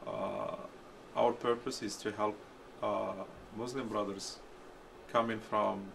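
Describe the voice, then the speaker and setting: A man introducing himself and his work in a halting interview style. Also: lowish voice, fairly even intonation. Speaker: business owner interviewee. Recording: clearly noisy, ambient background.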